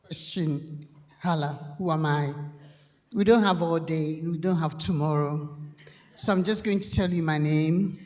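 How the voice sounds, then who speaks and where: A woman's voice: deep voice, darkish tone. A woman introducing herself informally on a conference panel in an emphatic, playful, rhetorical way. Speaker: panel speaker. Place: conference hall, on a microphone.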